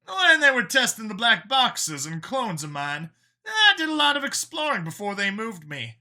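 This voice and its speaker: Man putting on a high-pitched, eager male guide. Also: wildly swooping pitch, normal speed, bright, forceful tone, exaggerated voice. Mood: eager, confiding. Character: male human ally, eager knowing guide.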